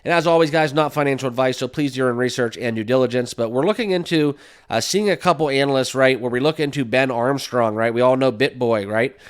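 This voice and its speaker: Male host with a slight cold, mid-pitched and smooth, upbeat and chatty, full of "right?" and "uh". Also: fast. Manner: upbeat, full of fillers, keeps asking "right?". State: has a cold, sniffling. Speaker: crypto YouTube host. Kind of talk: casual talk to camera.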